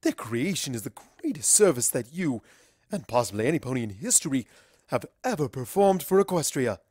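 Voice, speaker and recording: A woman's voice: rumbling low, big pitch swings, brisk, dark tone, slightly rough, no room sound, full character voice. A woman voicing a regal mother pony princess who is drunk, pompous and theatrical. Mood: grandiose, drunk. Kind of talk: acted fan fiction dialogue.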